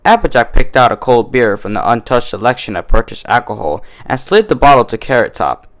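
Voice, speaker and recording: A man's voice: mid-range voice, big pitch swings, a little fast. A man reading scripted story narration as a fan-fiction reader.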